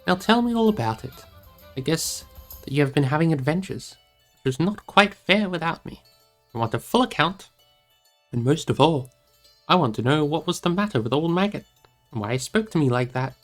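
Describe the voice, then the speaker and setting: A man voicing a brisk, practical young male hobbit who speaks in a direct, probing way in a storybook reading. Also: on the high side, expressive, faint music behind, voice pushed up, natural voice. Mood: curious.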